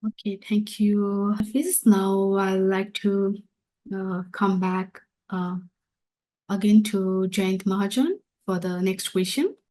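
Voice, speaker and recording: A woman with a Nepali accent hosting a webinar question session, polite and somewhat halting in her phrasing. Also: mid-range voice, steady tone, dark tone, low-quality recording. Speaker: webinar host. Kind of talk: moderating a question-and-answer session.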